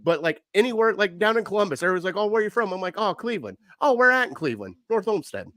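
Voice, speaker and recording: A man's voice: high-pitched, sing-song, fast talker, fairly bright tone. A man from co-hosting a casual sports podcast, talking animatedly and acting out voices in the conversations he quotes. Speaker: podcast co-host.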